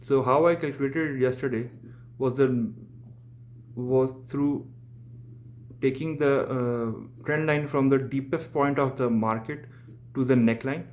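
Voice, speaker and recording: A man's voice: medium pitch, steady tone, slow pace, pauses often, darkish tone. A young man whose first language is Urdu, walking through trading charts on a screen share in English, with many fillers and restarts. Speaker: crypto trading channel host.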